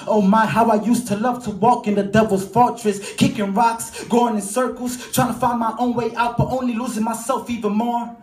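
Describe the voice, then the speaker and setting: A man's voice: high-pitched, darkish tone. A man performing rhythmic, rhyming spoken word as a church poet, with emphatic delivery. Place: church hall during a live service. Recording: roomy live church sound over music. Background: music.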